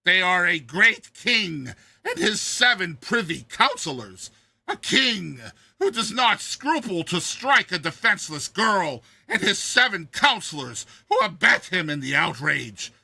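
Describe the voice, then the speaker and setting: A shrill, high-pitched dwarf jester bent on revenge. He screams with maniacal force and stretches his words mockingly, in the theatrical manner of a dramatized storybook villain. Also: big pitch swings, vocal fry, sharp tone, husky, dry recording, exaggerated voice. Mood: menacing triumph. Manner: drawn-out, mocking, theatrical delivery.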